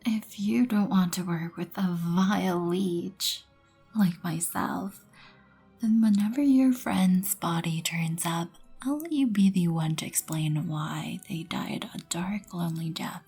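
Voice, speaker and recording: A woman performs a regal vampire queen for an ASMR roleplay, low and breathy with a creaky edge. She speaks softly and with measured poise, haughty yet wryly merciful, over background music. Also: full character voice. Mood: wry, imperious. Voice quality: breathy, often near whisper.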